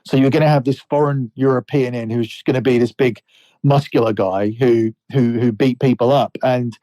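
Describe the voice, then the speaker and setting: A man co-hosting a podcast who explains wrestling characters in a measured, analytical way. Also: mid-range voice, steady tone, mellow, no echo. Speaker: podcast co-host.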